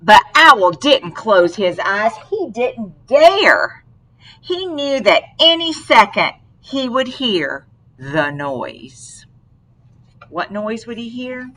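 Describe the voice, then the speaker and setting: A woman reads a children's picture book in a bright, highly animated voice. She takes it very slowly and expressively, drawing a young listener in with questions. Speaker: read-aloud storyteller to a young child.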